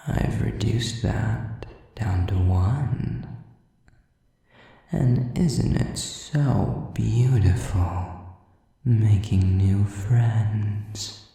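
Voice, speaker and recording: A man playing a sadistic, predatory demon in an intimate audio roleplay, taunting with mock politeness and breathy, drawn-out menace. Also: on the low side, warm tone, very echoey, far from the mic, heavily acted. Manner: slow, drawn-out, mockingly polite, breathy whispers.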